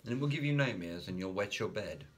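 A man's voice: fairly low pitch, animated, fast talker, natural voice. A man voicing a bossy older sister who teases her little brother in a storybook reading. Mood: teasing. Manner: teasing, bossy.

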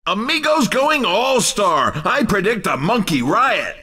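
High-pitched, shouting male game race commentator. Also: very animated, unhurried, husky, distant mic. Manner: hyped, playful, shouting announcer delivery. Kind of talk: excited arcade racing game commentary.

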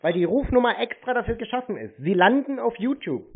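A man's voice: extremely high pitch, big pitch swings, fairly quick, husky. Blunt man on a tinny line. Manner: blunt, insistent. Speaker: scam-baiting call host. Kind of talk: unscripted phone confrontation. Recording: tinny phone-like radio sound.

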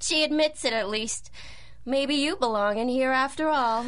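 A sneering female supervillain in an old-time radio adventure. Her voice is fairly high, and she talks with tough, mocking cynicism. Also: moderate pace, fairly bright tone, clean tone. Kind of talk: 1930s radio drama acting.

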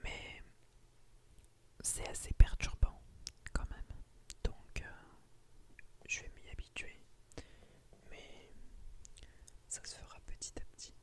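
A woman speaks in a breathy whisper, very close to the mic, in the style of an ASMR creator. Her delivery is slow and hushed, broken into short phrases addressed to her viewers. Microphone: close microphone on a boom arm.

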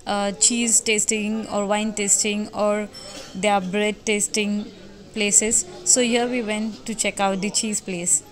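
A woman's voice: medium pitch, little pitch movement, slow pace, soft background music. Chatty woman narrating a travel vlog. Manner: chatty, hesitant with fillers. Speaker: travel vlogger. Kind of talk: voice-over narration.

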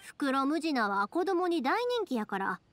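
A woman voicing a cheerful, big-sisterly young fireworks maker in an anime game, with a Kansai accent and a lively, reassuring, colloquial way of talking. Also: sits high, moderate pace. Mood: explaining. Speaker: video game character voice actor. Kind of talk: anime-style game dialogue.

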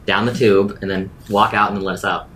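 A man with a fairly low voice plays a tabletop roleplaying game. He improvises dialogue and narration. There is faint noise and rumble behind him. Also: flat delivery, a little fast, fairly bright tone.

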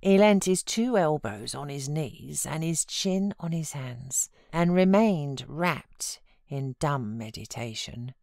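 A woman's voice: sits low, animated, slow, gravelly, hardly any pauses, a little mellow, no echo, clean studio sound. A woman with a British accent narrating a classic novel as a scripted audiobook.

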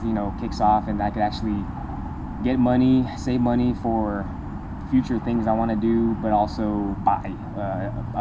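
A man's voice: mid-range voice, background noise. Rambling young man vlogging outdoors. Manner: rambling and chatty, laughs often, gestures. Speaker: motovlogger. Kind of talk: casual talking-head vlog. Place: open-air picnic shelter in a park. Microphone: compact camera on a table nearby.

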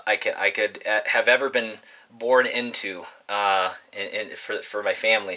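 A man in his thirties vlogging casually, informal and halting as he thinks aloud to his viewers. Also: lowish voice, pushed and bright. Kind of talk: casual, rambling vlog chat to viewers. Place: inside a parked converted school bus.

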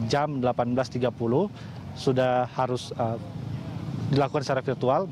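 A young man giving a formal, somewhat halting live news report outdoors through a face mask. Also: mid-range voice. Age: young adult. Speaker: field reporter. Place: outdoors in front of a large church. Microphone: handheld or chest-held reporter's microphone, through a face mask. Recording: noisy city street outdoors.

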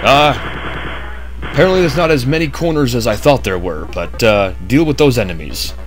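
A man's voice: medium pitch, expressive, normal speed, soft background music, low-quality recording. A man narrating a game walkthrough in a chatty way with jokey asides, just woken up. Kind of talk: casual gameplay commentary.

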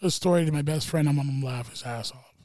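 Brief: male stand-up comic, mellow tone, higher voice, rambling casual podcast talk. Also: fast talker, no echo, clean studio sound. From Wyoming. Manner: loose, rambling, swears a lot. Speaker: stand-up comedian, podcast guest. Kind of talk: casual chat.

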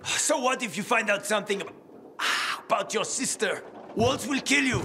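A man's voice: high voice, lively intonation, moderate pace, vocal fry, noisy background. An actor playing a wounded, desperate, cynical male survivor in a video game, groaning and swearing as he pleads. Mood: pained, pleading.

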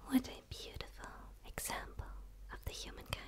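Whispering, curious alien played by a woman. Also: close to an everyday voice. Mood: fascinated, reassuring. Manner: soft, slow, soothing, breathy delivery. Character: curious, eager female dark alien studying a human. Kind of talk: whispered ASMR roleplay. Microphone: close microphone, speaking straight to camera.

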